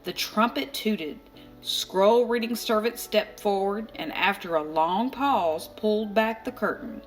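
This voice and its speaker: A woman narrating a children's storybook aloud, expressively and deliberately, with dramatic pauses. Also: mid-range voice. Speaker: storybook reader. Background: music.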